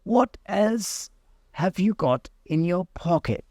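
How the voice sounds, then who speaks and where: Man putting on a high, solemn, pompous dodo. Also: lively intonation, takes it slowly, a little mellow, dry recording, clean studio sound, exaggerated voice. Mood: solemn, grave. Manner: solemn, grave, ceremonious. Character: grave, pompous dodo bird, solemn and courteous. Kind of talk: storybook reading.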